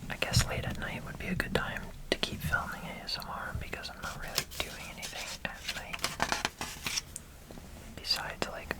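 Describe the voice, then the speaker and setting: A man's voice: moderate pace, rough recording. A man whispering casual, rambling ASMR chatter with many fillers while building bricks, his voice slightly nasal. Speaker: ASMR creator.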